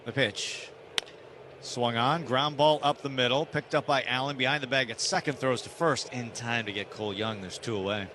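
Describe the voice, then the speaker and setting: Man calling baseball, steady stadium noise behind. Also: mid-range voice, normal speed. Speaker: baseball play-by-play announcer. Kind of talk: live radio sports commentary. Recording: steady background ballpark noise. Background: outdoor stadium ambience.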